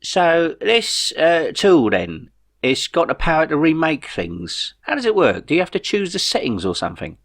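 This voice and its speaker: A man's voice: on the high side, wildly swooping pitch, normal speed, fairly bright tone, voice pushed up, heavily acted. Man playing a bewildered, grumbling space traveller. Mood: puzzled. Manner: questioning, doubtful, despondent. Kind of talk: audiobook character dialogue.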